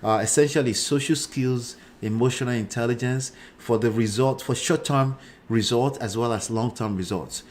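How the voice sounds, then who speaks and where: A man's voice: mid-range voice, normal speed, a little hiss or hum. A man in his forties with a Nigerian accent hosts a live show, giving casual commentary and often checking in with short tags like "right" and "okay". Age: 40s.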